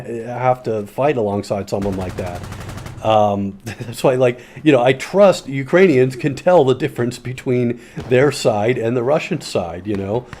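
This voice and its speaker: A man's voice: medium pitch, warm tone, slight background noise. A man streaming a shooter game, rambling in casual commentary with plenty of swearing and answering his chat.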